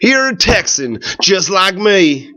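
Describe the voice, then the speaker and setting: A man's voice: high voice, big pitch swings, slow pace, pushed and bright, voice pushed up, full character voice. A man voicing a folksy, frank Texan drifter from a storybook, with an emphatic manner. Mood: scoffing, emphatic.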